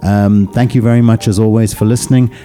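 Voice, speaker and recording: A man hosting a podcast interview, talking haltingly and searching for words, then closing the show. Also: on the low side, little pitch movement, warm tone, faint music behind. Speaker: podcast host.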